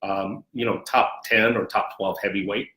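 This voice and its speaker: Echoey, low-voiced man being interviewed. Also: fairly even intonation, moderate pace, sharp tone. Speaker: sports broadcasting executive, interview guest. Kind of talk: conversational interview answers. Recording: echoey, roomy sound.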